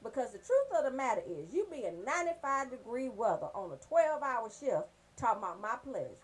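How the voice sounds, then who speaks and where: Woman radio host, high voice all over the place, brash casual funny ranting, cusses a lot. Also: fairly quick, slightly rough. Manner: brash, profane, animated storytelling. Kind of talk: casual comedic rant on radio show.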